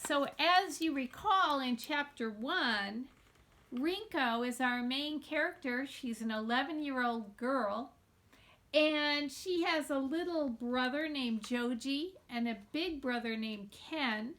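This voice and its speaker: Woman, high voice, unhurried pace, expressive storybook read-aloud, deliberate storyteller. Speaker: teacher reading a children's novel aloud.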